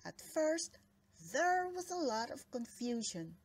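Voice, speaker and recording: A woman narrating a children's story aloud in an expressive, dramatic read-aloud style with deliberate phrasing. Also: high voice, a little hiss or hum. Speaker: storybook reader.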